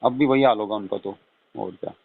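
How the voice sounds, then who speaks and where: The speaker is a middle-aged man with a fairly low-pitched voice. He talks informally and often ends statements with a short question. The sound is narrow and thin, as on a telephone call. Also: a little fast, vocal fry. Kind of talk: casual phone chat. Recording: tinny, narrow phone-call audio.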